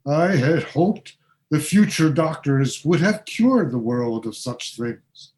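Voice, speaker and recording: A man's voice: fairly high pitch, lively intonation, slow pace, darkish tone. A man playing an earnest male ghost from the 1820s who speaks with formal, old-fashioned phrasing. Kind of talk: community radio play acting.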